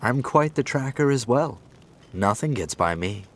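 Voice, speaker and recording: A man's voice: mid-range voice, animated, unhurried. A man playing a menacing, brutish lord and husband in an audiobook fairy tale, speaking in curt, commanding, old-fashioned phrases. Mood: boastful.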